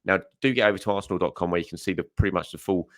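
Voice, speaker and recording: A young adult man who hosts a football news show, talking in a casual, chatty way. He is hoarse and often uses fillers and corrects himself as he goes. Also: low voice, very fast, fairly bright tone, no room sound. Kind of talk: casual solo commentary.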